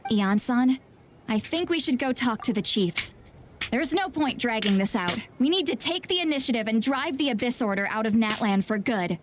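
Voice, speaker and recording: A woman playing a laid-back, sharp-witted shaman in a video game, with a drawling, nonchalant delivery. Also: a little high, expressive, moderate pace, background noise, lightly acted. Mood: decisive.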